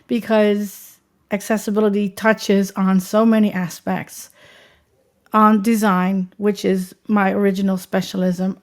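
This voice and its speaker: Woman, Dutch accent, podcast guest, rambling, lots of ums, mid-pitched voice. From Netherlands. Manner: chatty, rambling, frequent ums. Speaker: guest, accessibility consultant. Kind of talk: conversational podcast interview.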